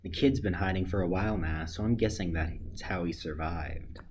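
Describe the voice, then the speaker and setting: A man acting a blunt, deadpan disaster survivor in a radio play. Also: low voice, normal speed, natural voice. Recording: faint background noise.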